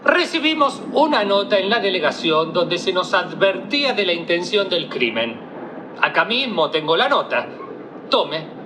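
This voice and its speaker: Man acting a pompous, high-pitched police inspector. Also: sing-song, a little slow. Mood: grave, formal. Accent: Argentine. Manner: formal, pompous. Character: earnest, self-important male police inspector. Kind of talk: radio play acting. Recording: noticeable background noise.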